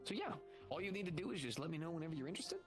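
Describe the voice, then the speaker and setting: Wordy man pitching gear over music. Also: sing-song, very fast. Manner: wordy, explanatory, salesman-like patter. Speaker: roleplayer running a guild shop in a social VR roleplay. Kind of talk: improvised in-character roleplay explanation. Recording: music playing behind the voice.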